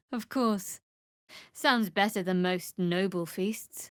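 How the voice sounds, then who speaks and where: An actress voicing a guarded, wry female cleric of a dark goddess in a fantasy video game, with a cool, measured, sardonic delivery. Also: medium pitch, no room sound, studio quality, close to an everyday voice. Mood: wry, amused.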